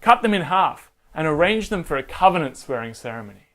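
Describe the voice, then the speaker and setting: A man voicing God as a solemn, commanding deity in a children's Bible story, speaking in measured, authoritative phrases. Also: fairly high pitch, very animated, fairly bright tone.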